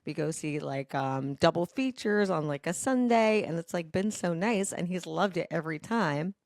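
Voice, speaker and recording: A woman with a low voice hosts a casual podcast. She talks in an animated, dramatic way and swears freely. The sound is very dry and close. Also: normal speed, no echo. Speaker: podcast host. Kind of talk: casual chat, storytelling.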